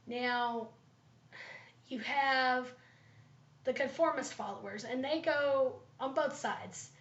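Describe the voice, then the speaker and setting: A woman student giving a class presentation, thoughtful and pausing often with ums, her voice slightly raspy from allergies. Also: high voice, a bit bright. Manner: thoughtful, with frequent ums and pauses. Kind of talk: class presentation, leadership analysis. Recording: roomy, dull lo-fi recording.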